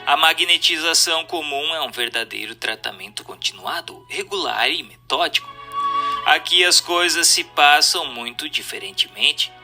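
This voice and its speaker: A man's voice: a little high, lively intonation, moderate pace. A man reading a scripted narration in a formal, didactic way with careful enunciation. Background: music.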